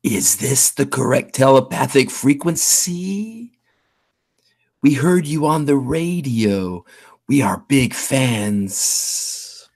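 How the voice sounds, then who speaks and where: A man playing an ominous, gruff figure with a deliberate, threatening delivery in an audio drama. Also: mid-range voice, expressive. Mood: solemn. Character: ominous alien visitor and gruff bounty hunter.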